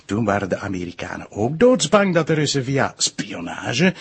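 A Flemish actor playing an erudite, patient detective in a TV drama, explaining hesitantly in a husky, gravelly voice. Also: male voice, medium pitch, moderate pace, full character voice. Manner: hesitant, didactic, animated. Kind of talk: naturalistic TV crime drama acting.

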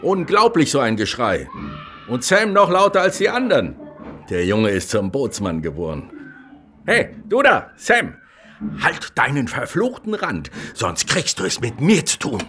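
An actor playing a loud, gruff, middle-aged naval father who curses and blusters heartily in a radio drama. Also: medium pitch, wildly swooping pitch, a little slow, exaggerated voice. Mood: angry bellowing. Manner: blustering, hearty, swears often. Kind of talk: literary radio drama acting.

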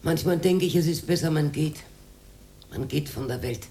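A woman's voice: deep voice, moderate pace, warm tone. An actress playing a lonely, stubborn older working-class woman with an Austrian accent, speaking plainly in a radio drama. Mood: resigned. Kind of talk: radio drama acting, colloquial.

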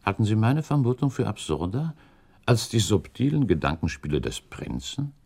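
Radio play count: deep creaky voice, shrewd, suspicious, politely provocative. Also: male voice, sing-song, a little mellow, heavily acted. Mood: accusing, pointed. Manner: pointed, courteous, deliberate. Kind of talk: German radio drama acting, formal literary dialogue.

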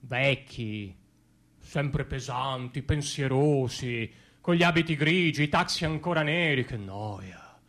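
A man's voice: mid-range voice, slow, a bit bright. A man performing a satirical stage monologue as an ironic, colloquial admirer of America, full of interjections and rhetorical questions. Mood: bored, disdainful. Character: ironic man gushing over America, mocking stale old Europe.

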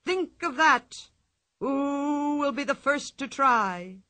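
A woman reading a storybook aloud, voicing a loud, showy male circus ringmaster who stretches his words as he announces. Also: expressive, no room sound, clean studio sound, full character voice. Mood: grandly announcing. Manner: booming, drawn-out showman announcing.

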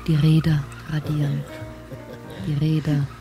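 A woman's voice: sits low, monotone, unhurried, over music. A woman as a cool, ominous radio play narrator, tersely announcing scenes.

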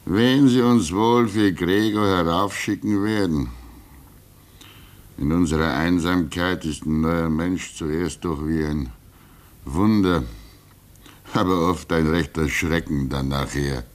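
A fatherly older man at a remote station in a vintage radio play. His voice is deep and he takes his time, musing wistfully and soothing whoever he talks to. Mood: musing, fond. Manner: soothing, chuckling, drawn-out musing. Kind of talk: 1950s German radio drama acting.

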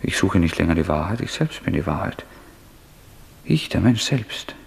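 A man's voice: deep voice, very animated, normal speed, creaky, heavily acted. An actor playing a brooding, feverishly resolved and unstable man, speaking intensely and introspectively in a German radio drama. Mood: feverish resolve. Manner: intense, introspective, swinging from hushed to agitated.

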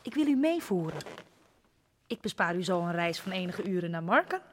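A woman's voice: lowish voice, sing-song, brisk, a little hiss or hum. An actress playing an earnest, insistent woman addressing a gathering in a Dutch radio play. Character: earnest, insistent woman giving formal testimony about her past. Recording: reverberant hall, old radio play.

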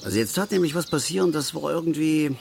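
A middle-aged family man and angler in a radio play, swinging widely in pitch as he rambles on. He is self-important and wounded, talking in colloquial asides over faint outdoor nature ambience. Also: lively intonation, quick pace, vocal fry, darkish tone, slight background noise. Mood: aggrieved, agitated. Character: aggrieved middle-aged male angler and family father, self-righteous, garrulous. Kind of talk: naturalistic German radio drama monologue and dialogue.

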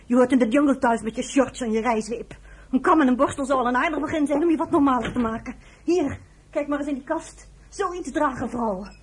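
A woman's voice: high voice, a little mellow, lightly acted. An actress playing a proud, outspoken American woman working as a medic in a radio play. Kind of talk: Dutch radio play acting. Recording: faintly noisy radio play recording.

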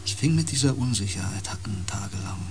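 A man playing a haunted, intense empath and space explorer in a radio drama, brooding and halting in fragmented phrases. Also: lowish voice, expressive, slight background noise. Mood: haunted, hushed.

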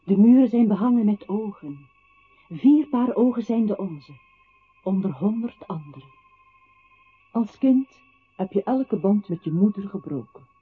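A man's voice: high-pitched, animated, unhurried, long pauses, slightly rough, heavily acted. An actor playing an accusing voice that solemnly tells a captive his own life in a poetic radio play. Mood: menacing, solemn. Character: accusing male voice narrating a captive's life to him. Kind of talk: radio play, poetic second-person narration. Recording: tinny, narrow old radio recording with hiss.

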